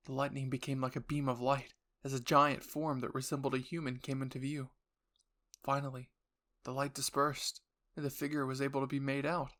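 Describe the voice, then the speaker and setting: A man's voice: mid-range voice, fairly bright tone. A man narrating an audio roleplay story in the second person with an earnest, theatrical delivery.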